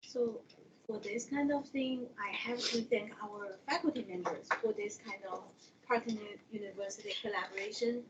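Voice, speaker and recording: A woman who is an international education coordinator, presenting slides in a relaxed, conversational way, with Chinese as her first language and slightly non-native phrasing. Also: medium pitch, normal speed, dull audio, noisy background.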